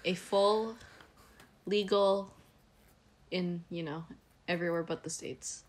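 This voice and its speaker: A young woman talking casually as a guest in a relaxed two-person conversation. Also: fairly low pitch, takes it slowly. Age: young adult. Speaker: podcast guest. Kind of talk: casual chat.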